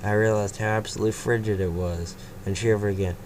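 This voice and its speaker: A man's voice: on the low side, fairly even intonation, fast, a little mellow. A man reading a first-person story aloud in a casual way, breaking off to comment, on a hissy home mic. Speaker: fanfiction reader.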